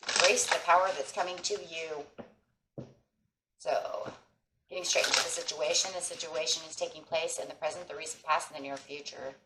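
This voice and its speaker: A woman with a hoarse voice from a sinus infection, giving a casual tarot reading and speaking encouragingly to viewers as friends. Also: sits low, fairly even intonation, brisk, echoey room, heavy background noise. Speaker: tarot reader. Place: table with tarot cloth, indoors.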